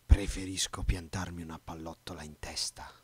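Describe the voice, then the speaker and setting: A man's voice: sits low, level tone, normal speed, husky. A man dubbing a paranoid, ranting middle-aged dictator in an amateur film dub. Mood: defeated, bitter. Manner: ranting, with emphatic repetition.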